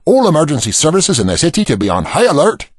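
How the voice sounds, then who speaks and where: A man playing a blunt, commanding prime minister in an audio drama, with a husky voice. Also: on the high side, huge pitch swings, fast talker, no echo, clean studio sound, heavily acted. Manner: blunt, barking orders, swinging from alarm to brooding.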